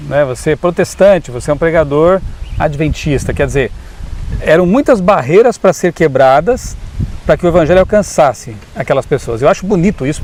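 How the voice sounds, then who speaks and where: A man's voice: on the high side, a little mellow, a little hiss or hum. A man interviewing a guest, reflecting thoughtfully and often adding "né?".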